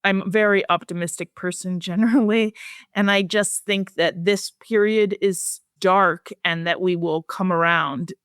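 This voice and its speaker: A woman with a fairly low voice answers as an expert guest in an interview. She is measured and earnest, choosing her words with care. The sound is dry, with very little room. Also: steady tone, smooth, no echo. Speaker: guest researcher. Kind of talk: podcast interview.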